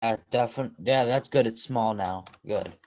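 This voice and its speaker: A man hosting a storm-chasing livestream, commenting on radar in a halting way with frequent restarts. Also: mid-range voice, a little mellow, no room sound. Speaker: storm chaser livestream host. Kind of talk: live weather radar commentary. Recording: dull lo-fi dry audio.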